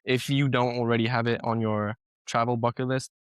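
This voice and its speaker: A man's voice: mid-range voice, little pitch movement, a little fast, clear, resonant tone, no room sound, clean studio sound. A man in his twenties with a South African accent, a guest telling his story in a casual podcast interview, chatty with many fillers and restarts. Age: 20s. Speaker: guest entrepreneur.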